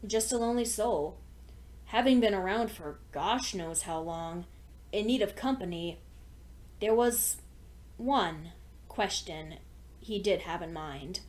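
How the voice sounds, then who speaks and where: A woman's voice: mid-range voice, a little mellow. A woman reading fan fiction aloud as a scripted story narration.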